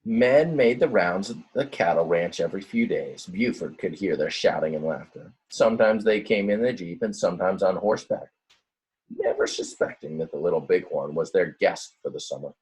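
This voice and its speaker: Husky-voiced man reading a storybook aloud. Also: sits low, normal speed, rough recording. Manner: expressive, dramatic, explains words to young listeners. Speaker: teacher reading a picture book aloud. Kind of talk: children's storybook read-aloud with simple asides.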